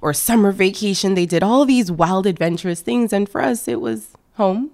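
A woman in her thirties, a wedding planner, telling her story as a podcast guest in a relaxed way with many fillers. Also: mid-range voice, moderate pace. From born in France, raised in Canada. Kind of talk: casual interview talk.

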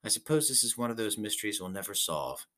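A man reading aloud voices a middle-aged rocket captain who muses in a low, quiet voice. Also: animated, fast. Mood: musing.

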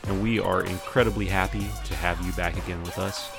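A man hosting a podcast in casual chat, often pausing partway through his sentences. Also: sits low, fairly even intonation, vocal fry, over music. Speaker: podcast host. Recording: noticeable background noise.

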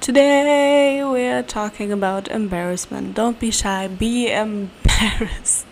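A woman's voice: a little high, slow pace, slight background noise. Chatty young woman with a German accent. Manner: chatty, giggly, playful asides. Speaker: podcast host. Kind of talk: casual solo podcast chat. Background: faint room ambience, cat nearby.

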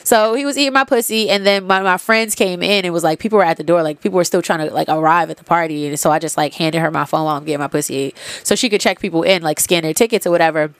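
A woman's voice: fairly low pitch, fast talker, hardly any pauses, dry recording. A young adult woman hosting a podcast with a congested nose, telling a story in a loose, candid way full of fillers and swearing. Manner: candid, profane, loose retelling with many fillers. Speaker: podcast host. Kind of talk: casual storytelling monologue.

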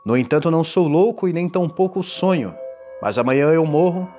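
A man playing a tormented condemned man in a gothic horror audio drama, confessing in a formal, theatrical and deliberate delivery. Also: a little high, animated, warm tone, lightly acted. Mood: solemn, anguished. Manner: theatrical, deliberate, ornate diction. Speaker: narrator. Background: music.

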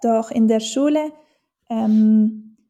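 A woman's voice: fairly high pitch, extremely slow, dark tone, lo-fi sound. A woman from German-speaking Switzerland with a Swiss accent, a dialect teacher answering interview questions thoughtfully, with many fillers and restarts.

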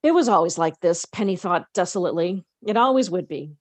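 A woman's voice: lowish voice, animated, fairly quick, a little mellow, no echo. A woman co-hosting a podcast, expressively reading a novel aloud with casual, conversational asides.

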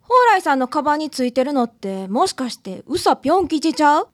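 A woman's voice: sits high, very animated, fairly quick, no room sound. A woman with a Kansai accent playing a friendly, outspoken local woman in a radio drama. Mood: chatty, excited. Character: friendly, outspoken local woman, also as her schoolgirl self. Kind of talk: scripted radio drama acting.

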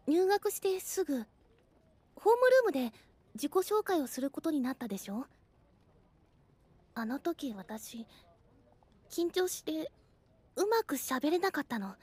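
A woman playing a devoted, protective schoolgirl with shy affection and gentle, reassuring phrasing in a voice drama. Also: high-pitched, big pitch swings, measured pace, pauses often. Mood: tender, confessing. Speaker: voice actor. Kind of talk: Japanese anime-like voice drama acting.

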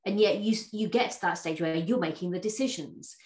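Woman podcast host, chatty, lots of ums, lowish voice, echoey room. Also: fairly quick. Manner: chatty, warm laughs, many fillers and restarts. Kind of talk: interview conversation.

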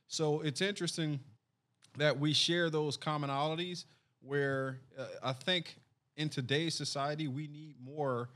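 Earnest man hosting a podcast. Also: medium pitch. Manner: earnest, measured, frequent restarts. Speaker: sheriff and podcast host. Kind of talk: podcast interview.

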